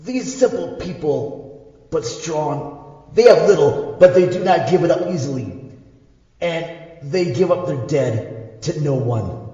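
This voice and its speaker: A man's voice: on the high side, animated, mellow, very echoey, long reverb tail, dull audio, voice pushed up. Man putting on a frail, wheezing old priest. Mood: solemn, weary. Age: older. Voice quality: weak, wheezing, labored breath with coughs. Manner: halting, broken simple English. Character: dying old male priest, weary, pleading, solemn.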